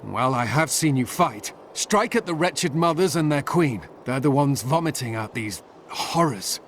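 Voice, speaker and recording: A man's voice: mid-range voice, expressive, measured pace, a bit bright, a little hiss or hum. An actor playing a weary, commanding male town guard captain in a video game, urgent and direct. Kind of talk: video game dialogue voice acting.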